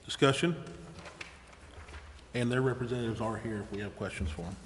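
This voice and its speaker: A man's voice: medium pitch, a little fast. A man presiding over a council meeting, formally reading out agenda items and procedure. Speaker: presiding chair of city council. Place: indoor city council chamber. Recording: echoey council chamber with faint noise.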